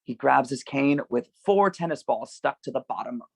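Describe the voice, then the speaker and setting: A man narrates screenplay directions at a table read. His voice is creaky and gravelly at a middle pitch, recorded dry and close. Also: lively intonation, normal speed, a little mellow, dry recording.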